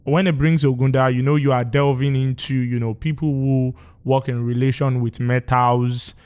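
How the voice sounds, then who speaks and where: A Nigerian man who grew up speaking Yoruba explains his background in a studio interview. His voice is smooth and resonant, pitched in the middle range. He talks in a measured, reflective way, pausing and reaching for words as he goes. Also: level tone, a little mellow. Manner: measured, thoughtful, with frequent pauses and fillers. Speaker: poet guest. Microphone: studio microphone.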